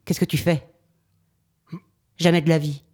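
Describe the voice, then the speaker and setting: A woman's voice: low voice, flat delivery, a little slow, darkish tone, slightly rough. An actress playing an indignant, protective mother and bitter wife in a French audio drama, scolding and reproachful.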